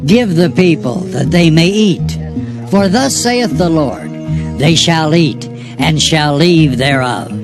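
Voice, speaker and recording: A man's voice: fairly high pitch, animated, slow, pitched above the performer's own voice. A man voicing a stern old prophet. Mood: solemn. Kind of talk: dramatized scripture reading. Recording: music bed behind voice. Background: music.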